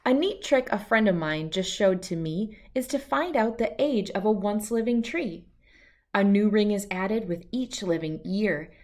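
Slow-paced woman reading a storybook. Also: mid-range voice, a little mellow. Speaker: children's book reader. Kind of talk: read-aloud of a rhyming children's picture book.

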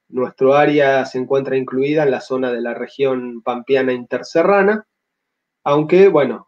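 A man in his thirties with an Argentine accent, giving a measured academic slide talk over a video call, pausing often with filler sounds. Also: medium pitch, a little mellow. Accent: Argentine Spanish. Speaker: paleontology lecturer. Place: home room on a video call. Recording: slightly dull lo-fi audio.

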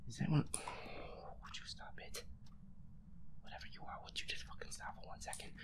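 Whispering, nervous man playing a horror game. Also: moderate pace. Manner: anxious, jumpy, sweary muttering. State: breathy, out of breath. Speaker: video game player. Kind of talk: whispered horror game playthrough, muttering to himself.

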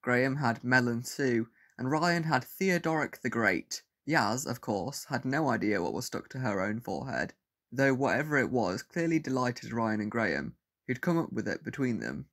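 A man with a medium-pitched voice reads scripted fiction aloud. He is the narrator of an audio story. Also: lively intonation, normal speed, fairly bright tone.

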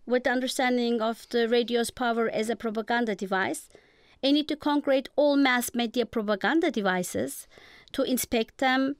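Clear, higher-voiced Turkish-accented woman, formal and academic. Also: no room sound, studio quality. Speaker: guest professor. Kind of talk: prepared lecture-like interview answers.

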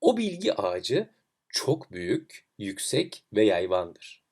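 Man as kindly old sage, expressive and assured. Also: fairly low pitch, husky, heavily acted. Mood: assured teaching. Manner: soft, compassionate, assured, measured. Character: kindly, confident old male sage with grizzled beard.

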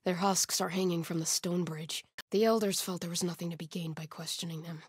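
A woman's voice: lowish voice, fairly even intonation, brisk, slightly rough, no room sound, clean studio sound. An actress playing a grim, devout female cult soldier in a video game, with a stern, zealous manner. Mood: grim, hushed. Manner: solemn, stern, swinging from hushed muttering to shouted alarms. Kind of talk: video game combat voice lines.

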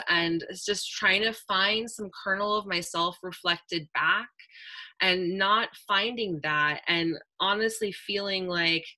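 A woman's voice: low voice, sharp tone. A young woman speaking on an online panel in an earnest, reflective way, with many "like"s and "um"s, over a webcam on a video call. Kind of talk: casual panel talk. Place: indoor room with a virtual backdrop.

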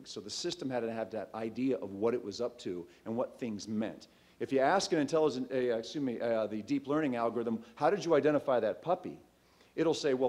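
A man around forty giving an informal startup pitch into a stage microphone, conversational and emphatic, speaking directly to his audience. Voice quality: loud voice. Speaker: startup CEO and co-founder presenting. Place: auditorium stage. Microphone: microphone on a lectern stand.

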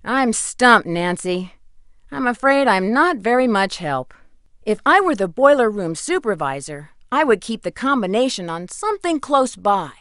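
A woman's voice: a little high, sing-song, unhurried, fairly bright tone, no room sound, studio quality. A woman playing a helpful friend who offers hints in a scripted video game. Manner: helpful, suggesting. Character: helpful female friend giving puzzle hints.

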